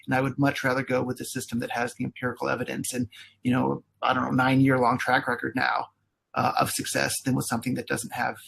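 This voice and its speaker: A man's voice: medium pitch, monotone, fast talker, creaky, fairly bright tone, breathy edge. A man speaking as a guest in a debate, earnest and often repeating words as he stresses his points. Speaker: debate guest, company CEO. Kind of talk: spoken debate.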